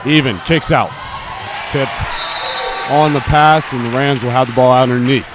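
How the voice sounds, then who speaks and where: A man's voice: medium pitch, steady tone, normal speed. A young student man doing live basketball play-by-play in clipped phrases that follow each action. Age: teenager or young adult student. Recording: noisy gym, bouncing balls behind. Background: gym ambience and basketball bounces.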